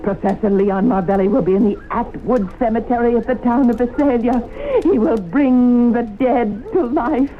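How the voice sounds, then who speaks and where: A woman's voice: on the high side, normal speed, hardly any pauses, natural voice. An actress playing an anxious, insistent small-town woman, earnest and melodramatic in old-time radio drama style. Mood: solemn. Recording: noisy, phone-like old radio broadcast.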